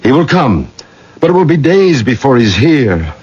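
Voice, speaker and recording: An actor playing an anguished, pleading father in a radio drama, with a husky voice. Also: medium pitch, very animated, normal speed, a little mellow. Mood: worried. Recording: dull old radio broadcast.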